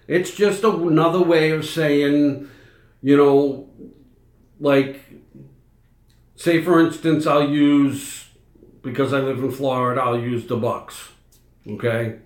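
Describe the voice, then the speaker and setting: Middle-aged Boston-accented stream host, unhurried, rambling, echoey room. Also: male voice, on the high side, steady tone. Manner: rambling, many fillers and restarts. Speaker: livestream host. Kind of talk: casual live chat answering viewers.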